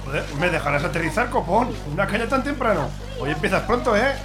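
A woman's voice: medium pitch, expressive, quick pace, close to an everyday voice. A woman playing a grumbling, bantering bar keeper in a radio play, salty and teasing.

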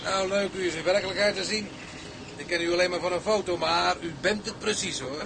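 An actor playing a friendly, chatty ship's bosun in a radio play. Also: male voice, high-pitched, a little fast, gravelly, noisy background. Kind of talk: dramatised radio play acting.

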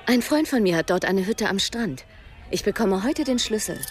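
A woman's voice: mid-range voice, animated, moderate pace, close to an everyday voice. An actress playing a cool, direct and teasing woman in a radio play.

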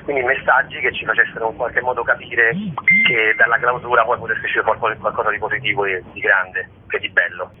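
A man's voice: on the low side, fairly even intonation, brisk, a little hiss or hum. A man giving a conversational radio interview as a storyteller, talking discursively and expressively, with rhetorical asides. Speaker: guest, stage actor and storyteller. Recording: thin phone-line radio sound.

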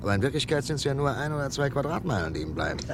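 An actor playing a determined police chief directing a manhunt in a radio crime drama, brisk and commanding. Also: male voice, medium pitch, big pitch swings. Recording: clear vehicle noise behind.

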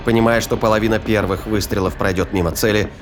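A man's voice: lowish voice, steady tone, fast, noisy background. A man narrating a science fiction thriller in a scripted, dramatic storytelling style.

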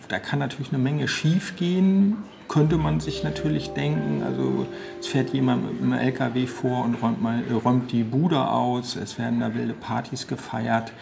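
A man explaining an idea off the cuff, halting and searching for his words. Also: medium pitch, vocal fry, darkish tone. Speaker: co-creator explaining the project. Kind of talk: spontaneous explanation. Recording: faint ambient noise.

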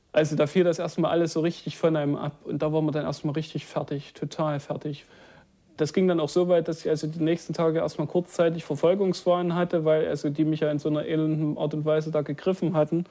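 A man's voice: a little high, dark tone. A man giving a conversational personal recollection as an eyewitness.

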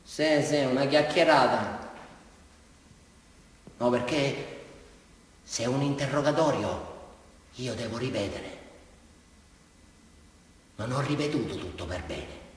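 Actor as volatile southern Italian prisoner, echoey hall. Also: male voice, medium pitch, takes it slowly, pauses often, close to an everyday voice. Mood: rambling, confiding. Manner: rambling, volatile, repeats himself. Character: unhinged boastful southern Italian cannibal prisoner confessing to a policeman. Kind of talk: theatrical stage monologue. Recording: echoey hall, distant mic, faint noise.

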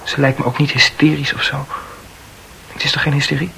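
Eager, creaky-voiced young medical student. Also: male voice, a little high, level tone, brisk, sharp tone, a little hiss or hum, exaggerated voice, rough recording. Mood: confiding, anxious. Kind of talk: radio drama acting.

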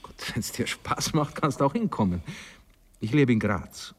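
An actor playing a pompous, evasive married bourgeois man in a radio drama, hesitant and moralising, with a husky voice. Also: mid-range voice, animated, normal speed, in a large hall. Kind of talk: 1960s German radio drama acting.

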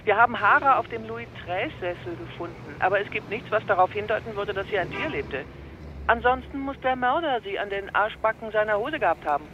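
An actor playing a blunt crime-scene investigator reporting over a phone or radio link in a radio crime drama. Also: male voice, high-pitched, lively intonation, brisk, muffled recording, a little hiss or hum. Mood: matter-of-fact. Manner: blunt, matter-of-fact. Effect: radio or phone filter.

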